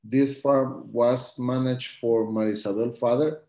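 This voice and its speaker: Man from guatemala, slow halting english, mellow voice, echoey room on a video call. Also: mid-range voice, fairly even intonation. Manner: halting non-native English, many fillers. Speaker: coffee farmer. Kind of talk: live-stream interview answers.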